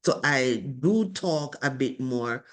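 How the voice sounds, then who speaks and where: A woman with a strong voice talks at an unhurried, deliberate pace, stopping often to find her words. She speaks as a participant in an online group discussion over a video call, thoughtful and steady. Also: rumbling low. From from abroad. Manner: slow, deliberate, with many pauses and fillers. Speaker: webinar participant.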